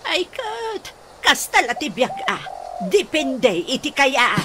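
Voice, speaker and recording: A woman's voice: high-pitched, slow, over loud music, exaggerated voice. An actress playing a chatty, exclamatory village woman in an Ilocano radio comedy drama. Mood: chatty, teasing. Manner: exclamatory, expressive.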